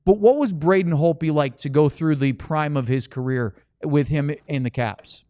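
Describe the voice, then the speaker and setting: A middle-aged man hosts a sports podcast in a smooth, clear voice pitched a little high. He chats easily in an anecdotal way, and the sound is dry and close. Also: normal speed, dark tone, dry recording. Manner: chatty, anecdotal. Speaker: podcast host and sports broadcaster. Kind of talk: casual sports podcast chat.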